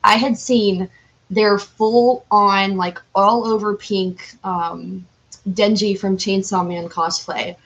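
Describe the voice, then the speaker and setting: Female podcast guest, mid-pitched voice, chatty and candid, informal, unscripted delivery. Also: fairly even intonation, takes it slowly, highs cut off. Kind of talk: casual interview chat.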